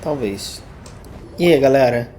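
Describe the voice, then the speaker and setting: A man's voice: medium pitch, lively intonation, a little slow, noisy background. A man with a Brazilian accent giving casual, joking, slangy commentary as he plays a game, music behind him. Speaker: gaming streamer.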